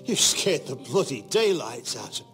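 A rough-spoken soldier in a fantasy game drama. His pitch moves around a lot at an unhurried pace, over clear music. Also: very animated, a bit bright. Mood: startled, wary. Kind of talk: dramatic video game acting.

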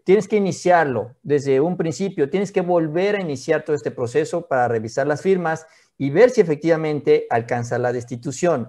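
High-pitched Mexican man explaining politics. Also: a little mellow. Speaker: political commentator. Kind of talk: conversational political commentary. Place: small home office, indoors.

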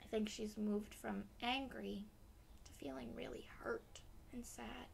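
A young woman hosts a children's read-aloud. Her voice is fairly high with plenty of creak, and she goes slowly and expressively, as if addressing small listeners. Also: steady tone, slight background noise. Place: small decorated room indoors.

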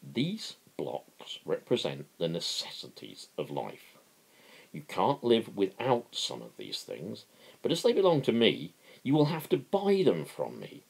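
A man voicing a working man who patiently lectures as a pretend capitalist in a novel, emphatic and explanatory, with a gravelly voice. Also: sits low, wildly swooping pitch, a little slow, darkish tone, exaggerated voice, rough recording.

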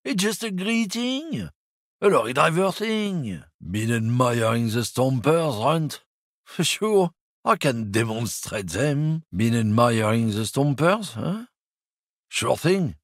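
An actor playing a boastful, crude, bigoted middle-aged lorry driver in a video game, recorded on a dry studio mic. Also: male voice, mid-range voice, sing-song, slow, no echo, full character voice. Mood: swaggering.

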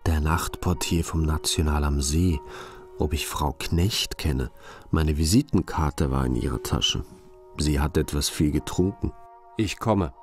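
A man's voice: a bass voice, measured pace, soft background music. A man playing a dogged, troubled police investigator in a German crime radio play. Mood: grave, matter-of-fact.

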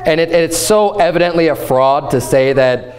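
Animated, fairly high-voiced man preaching. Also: normal speed. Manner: animated, gesturing, conversational preaching. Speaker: pastor. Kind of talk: sermon. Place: church platform before a painted backdrop.

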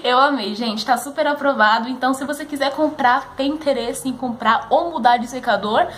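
High-pitched young São Paulo Brazilian woman. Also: a little fast, fairly bright tone, slight background noise. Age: 20s. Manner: chatty, enthusiastic, addresses viewers as friends. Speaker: beauty vlogger. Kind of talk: casual chatty product review to camera. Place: living room at home, indoors.

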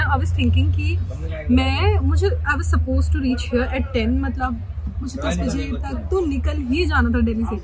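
A high, bright-voiced young woman talks cheerfully into a camera held at arm's length, mixing Hindi and as she travels. Loud music runs beneath her voice throughout the recording. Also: low-quality recording. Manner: chatty and upbeat, talking straight to camera. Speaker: travel vlogger. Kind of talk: casual on-camera travel vlog, Hindi-English mix. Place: inside a bus and at roadside stops. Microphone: handheld camera at arm's length.

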